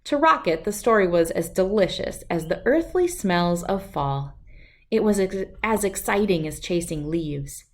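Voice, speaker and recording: A woman reading a children's story aloud in an expressive, deliberate, dramatic narrating style. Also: fairly low pitch. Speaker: storybook reader.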